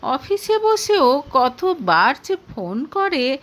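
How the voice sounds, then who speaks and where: Animated, proud young wife voiced by a woman. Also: sits high, drawn-out words, voice pushed up, heavily acted. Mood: gushing, proud. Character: proud, chatty young married woman, a confiding friend.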